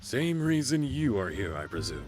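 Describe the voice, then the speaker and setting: A man playing a smug, commanding general in a visual novel, measured and condescending. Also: medium pitch, big pitch swings, darkish tone, natural voice. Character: smug, commanding male general pulling rank. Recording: music behind the voice.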